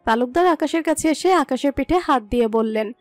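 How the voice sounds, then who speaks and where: A woman's voice: high-pitched, lively intonation, brisk, darkish tone, no echo. A woman reading scripted dramatic fiction as a story narrator.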